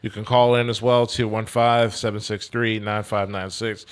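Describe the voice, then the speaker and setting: A man's voice: lowish voice, level tone, normal speed, smooth. A man who hosts a sports radio show, speaking as a guest on a podcast in an easygoing, plain-spoken way. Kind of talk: casual podcast chat.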